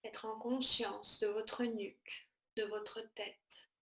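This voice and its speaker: A woman teaching yoga nidra, guiding a relaxation meditation in a soothing, measured manner with short, spaced-out phrases. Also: on the high side, flat delivery, fairly bright tone, roomy sound.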